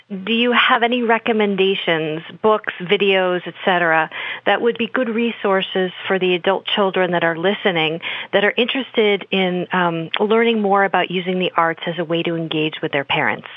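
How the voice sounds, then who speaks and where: A woman's voice: mid-range voice, moderate pace, no echo. A woman hosting a podcast interview, asking questions and giving enthusiastic praise. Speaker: podcast host. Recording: phone-line audio, dry.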